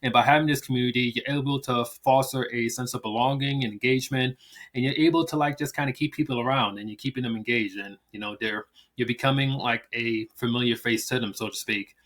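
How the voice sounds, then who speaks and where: A man's voice: medium pitch, fairly even intonation, quick pace, fairly bright tone. Rambling man explaining things casually. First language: English. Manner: loose and rambling, many fillers and restarts. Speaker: channel host teaching language promotion. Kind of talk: casual talk-to-camera explainer.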